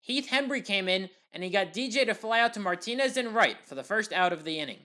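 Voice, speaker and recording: An American woman narrates sports highlights in a scripted play-by-play style. Her voice sits at a medium pitch for a woman. She works as the commentator, recapping the action step by step. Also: animated, quick pace, pushed and bright.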